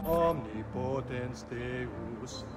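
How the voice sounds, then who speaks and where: A man's voice: mid-range voice, drawn-out words, loud music behind the voice. An actor playing a pious clergyman in a video game, devoutly intoning liturgical prayer.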